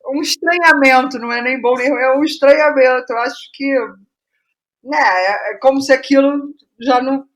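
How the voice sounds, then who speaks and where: High-pitched Brazilian woman, thinking aloud. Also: moderate pace, fairly bright tone, rough recording. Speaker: interviewed playwright. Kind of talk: reflective casual interview conversation.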